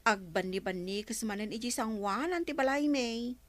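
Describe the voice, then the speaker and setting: A woman's voice: a little high, moderate pace, dry recording, natural voice. A woman acting a feisty, talkative village councilwoman with an Ilocano accent, exclaiming and bickering in a broadcast comedy drama. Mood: chatty.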